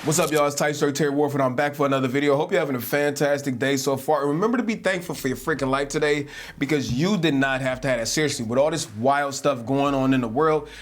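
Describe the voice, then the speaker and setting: A man hosting an unscripted tech vlog, talking casually and energetically with slangy asides, his voice slightly hoarse from yelling. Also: mid-range voice, quick pace. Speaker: tech video host. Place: home desk setup indoors.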